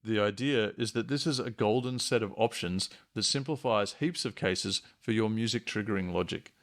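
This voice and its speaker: Low-voiced man with a gravelly edge. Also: moderate pace. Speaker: software tutorial presenter. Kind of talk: explanatory screencast tutorial narration.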